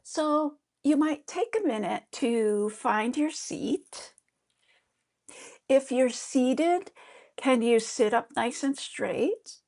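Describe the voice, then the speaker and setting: Slow, gravelly-voiced woman teacher. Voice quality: high voice. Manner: gentle, encouraging, with fillers and restarts. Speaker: yoga therapist teaching mantra. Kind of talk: guided meditation lesson.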